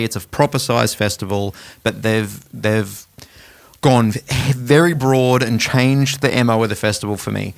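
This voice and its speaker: A man's voice: lowish voice, creaky, clear, resonant tone. A man co-hosting a music podcast in a casual, blokey, irreverent way. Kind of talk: casual chat.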